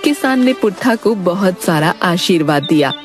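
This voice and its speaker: A woman's voice: on the low side, animated, moderate pace, soft background music. A woman reading scripted narration for a comedy cartoon story.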